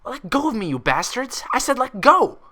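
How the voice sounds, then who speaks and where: A man's voice: extremely high pitch, big pitch swings, moderate pace, sharp tone, voice pushed up, full character voice. A man voicing a furious, defiant female cartoon pony, an asylum patient screeching and ranting. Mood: furious, desperate.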